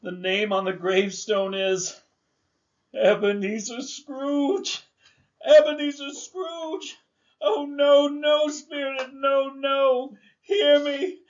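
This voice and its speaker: A man acting a frightened old miser pleading with a ghost, tremulous, halting and melodramatic, in a theatrical radio drama. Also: extremely high pitch, flat delivery, highs cut off. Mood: dread, then desperate pleading.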